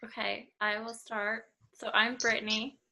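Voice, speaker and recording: A woman's voice: a little high, little pitch movement, a little slow, gravelly, bright, forceful tone, rough recording. A young American woman speaking casually as a participant in a student discussion. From Idaho, United States. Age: young adult.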